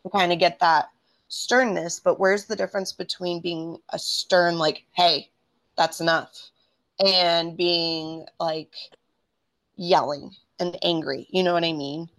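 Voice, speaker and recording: A woman's voice: lowish voice, steady tone, takes it slowly. A woman who teaches preschool, talking casually and rambling a little as she chats with fillers. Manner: chatty, rambling with many fillers. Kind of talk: casual chat.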